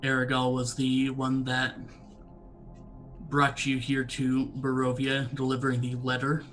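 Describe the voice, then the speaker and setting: Even-toned man narrating a tabletop game. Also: medium pitch, soft background music. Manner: measured, even storytelling. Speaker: dungeon master.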